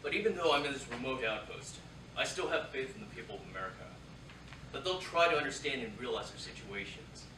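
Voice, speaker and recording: A man's voice: mid-range voice, lively intonation, fast, natural voice. A man playing a proud, bitter young Japanese American Second World War soldier in a staged reading, emphatic and declamatory. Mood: bitter, impassioned. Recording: roomy, distant theatre recording, faint noise.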